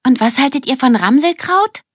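A woman's voice: high-pitched, expressive, normal speed, breathy edge, no echo, clean studio sound, pitched above the performer's own voice. A woman voicing a defiant, bossy young girl in an audiobook, speaking emphatically. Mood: mocking. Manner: emphatic, exclaiming.

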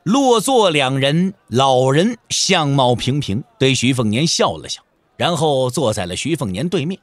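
A man's voice: mid-range voice, big pitch swings, a little slow, no room sound. A man narrating a martial-arts audio drama in a dramatic, theatrical way, stretching phrase endings for emphasis, close to the microphone. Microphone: close studio microphone.

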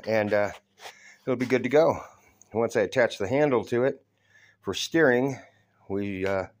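A middle-aged man giving a casual, folksy, self-deprecating demonstration of his homemade build in short, halting phrases, recorded on a handheld phone in a garage. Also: mid-range voice. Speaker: hobbyist DIY builder. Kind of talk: casual show-and-tell demonstration. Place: cluttered garage with open door. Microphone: handheld phone held by the speaker.

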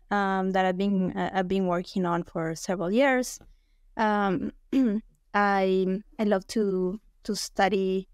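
A woman from Ecuador speaks through an earbud mic on a video call, her voice dry and close with no room sound. She talks at a middle pitch, hesitant, with many restarts and fillers. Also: a little mellow, no room sound. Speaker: guest anthropologist. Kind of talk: casual interview talk. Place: small home room. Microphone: earbud headset on video call.

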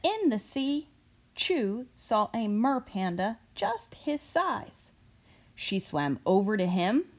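A woman reading a children's story aloud expressively, with deliberate, drawn-out phrasing. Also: fairly high pitch, big pitch swings. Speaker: reader of children's books.

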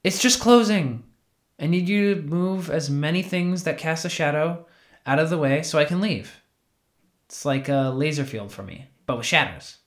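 A man playing an awkward, sheepish young retail worker in an audio drama, with a halting, casual, wry delivery. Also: fairly high pitch, natural voice. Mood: awkward, pleading. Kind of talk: naturalistic scripted audio drama dialogue.

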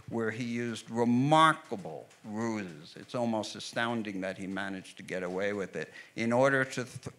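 A middle-aged American man, an author and historian, explaining history in a measured way with frequent fillers, speaking into a handheld mic on an outdoor veranda stage. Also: mid-range voice, bright, forceful tone. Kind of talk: onstage conversation.

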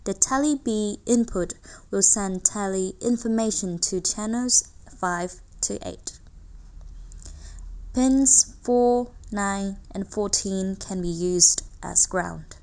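A woman's voice: medium pitch, slow, darkish tone. A woman reading a scripted technical tutorial carefully, word by word. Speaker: tutorial narrator.

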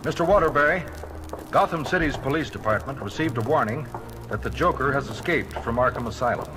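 Male actor as stern crimefighting hero, authoritative and clipped, mid-pitched, vintage story-record drama with prominent music. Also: expressive, fairly quick, natural voice, low-quality recording. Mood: serious, formal. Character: adult male superhero crimefighter, commanding and grave. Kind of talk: radio-drama acting on a children's story record.

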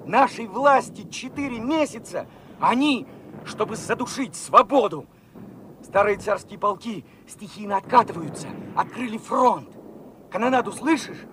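An actor in a Soviet radio play playing a fervent young revolutionary soldier, talking in an excited, colloquial way. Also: male voice, high voice, measured pace, slight background noise. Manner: excited, colloquial, eager questioning.

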